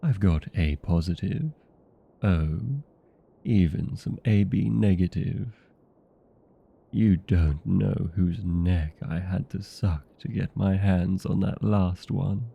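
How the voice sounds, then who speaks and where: A man playing a vain, playful, chatty old vampire in a comedic audio roleplay, with a gravelly, husky voice and theatrical, courteous manner. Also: deep voice, fairly even intonation, slow, dull audio, full character voice. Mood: chatty, hospitable.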